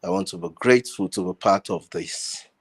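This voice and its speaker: Male, Nigerian accent, lowish register, discussion guest; conversational, hesitant, repeats words while searching for phrasing. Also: normal speed, breathy edge. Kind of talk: casual discussion. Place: online group video call.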